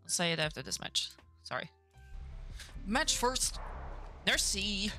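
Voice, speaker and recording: A woman's voice: medium pitch, animated, takes it slowly, bright, forceful tone. A woman whose first language is Dutch, chatting casually on a live stream while playing a game. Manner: chatty, reacts aloud to the match. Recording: game music in the background.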